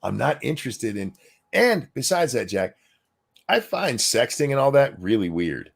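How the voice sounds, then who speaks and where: A man hosting a casual live stream, ranting in a crude, sarcastic way with loud outbursts. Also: mid-range voice, very animated, measured pace, vocal fry, husky. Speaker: stream host. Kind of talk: casual profane ranting chat.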